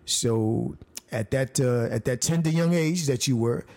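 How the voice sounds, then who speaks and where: A man from the United States hosting a podcast interview, halting and jokey, stumbling through many restarts and fillers. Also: mid-range voice, mellow. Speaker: podcast host. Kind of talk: casual interview.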